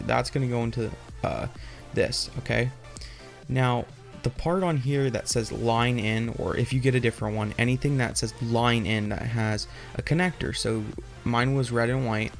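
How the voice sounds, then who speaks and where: A young man hosts a casual tech walkthrough in a medium-pitched voice. He explains things informally and loosely, rambling as he goes, with music playing behind him. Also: fairly even intonation, moderate pace, gravelly. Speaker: tutorial host. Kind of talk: casual off-the-cuff tech tutorial.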